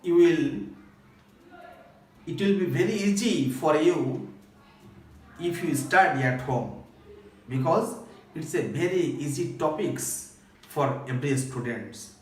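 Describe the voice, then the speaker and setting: A man's voice: a little high, expressive, takes it slowly, pauses often, a little mellow, a little hiss or hum. A man with a Bangladeshi accent teaching English grammar step by step, in a repetitive, phrase-by-phrase instructional way. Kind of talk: recorded grammar lesson, step-by-step explanation. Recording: echoey room, distant microphone.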